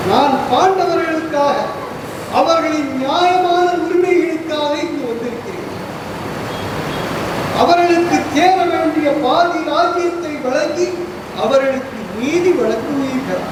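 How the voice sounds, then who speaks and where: An actor playing a calm, authoritative divine envoy on stage, speaking in a measured way. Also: sits high, recorded from a distance. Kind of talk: stage play acting. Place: auditorium stage. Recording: echoey hall, noisy.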